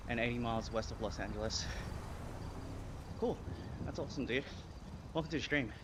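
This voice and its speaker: A man's voice: mid-range voice, fairly quick, background noise. A man in his thirties with an Australian accent, chatting casually on a live stream while riding an indoor bike trainer, a little out of breath. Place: home indoor cycling setup.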